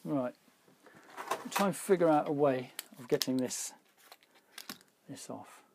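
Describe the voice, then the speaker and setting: A man with a slightly husky voice gives casual hands-on repair commentary, thinking aloud informally as he works. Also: mid-range voice, slow pace, noisy background. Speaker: repair hobbyist presenting a video.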